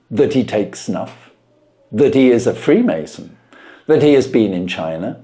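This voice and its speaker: A man voicing a brilliant, self-assured gentleman detective from a storybook, precise, articulate and dryly amused. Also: on the low side, pitched above the performer's own voice. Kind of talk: storybook character voice.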